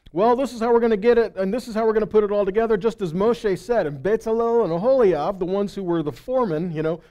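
High-pitched middle-aged male preacher. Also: dark tone. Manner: conversational, checking in with listeners. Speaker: preacher and Bible teacher. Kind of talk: live sermon teaching. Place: indoor stage in a meeting room or hall. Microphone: headset microphone.

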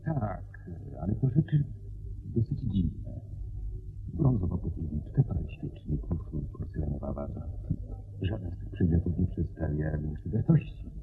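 Worried posh-mannered gentleman in radio drama, deep creaky voice, grave and formal. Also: animated. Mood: grave, troubled. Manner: grave, formal, deliberate storytelling. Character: worried, formal country gentleman seeking a detective's help. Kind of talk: radio play acting. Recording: dull radio drama recording with background noise.

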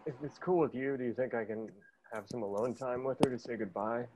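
A man's voice: mid-range voice, brisk, a little mellow, a little hiss or hum, close to an everyday voice, poor audio. A man reading the part of a grieving young husband at a table read, sounding mopey. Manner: mopey, often breaking into laughs.

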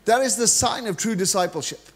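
A middle-aged man with a Welsh accent delivers an energetic, humorous sermon, his voice sitting notably high for a man. He speaks into a handheld mic on a large church stage. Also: a little fast. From Wales. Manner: energetic, joking preacher, gestures freely while pacing the stage. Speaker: guest preacher.

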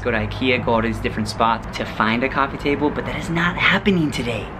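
A man's voice: fairly low pitch, very noisy. A young adult American man chatting casually to a vlog camera, with the playful, hyped manner of a showman. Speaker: vlogger. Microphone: handheld vlog camera at arm's length.

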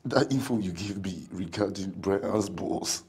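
A man's voice: low-pitched, a little slow, a little mellow, husky, exaggerated voice. An actor playing a remorseful man in trouble, agitated and stumbling over his words in a film drama. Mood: panicked, remorseful.

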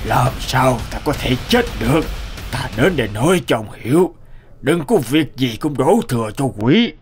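A man voicing an arrogant hulking male demon king who speaks in a scornful, commanding way, in an animated folk tale. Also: mid-range voice, normal speed, faint music behind, lightly acted. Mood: stern.

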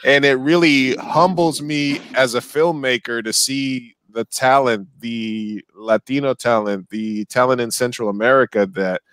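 A man's voice: medium pitch, fairly even intonation, takes it slowly, hardly any pauses, a bit bright, clear, resonant tone, no room sound. A man in his thirties, from Colombia and Uruguay, hosting a festival Q&A over a headset mic on a video call, often repeating words as he searches for phrasing. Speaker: festival Q&A host. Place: home room with bookshelves.

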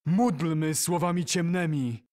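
A man reciting poetry for an audio drama in a solemn, measured manner. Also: on the high side, a little mellow.

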